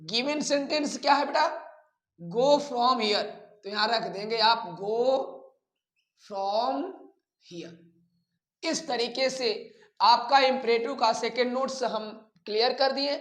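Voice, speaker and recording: Slow-paced Indian teacher in an echoey room. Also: mid-range voice, fairly bright tone. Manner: didactic, asks questions and answers them. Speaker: English grammar teacher. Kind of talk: instructional lecture mixing Hindi and English terms.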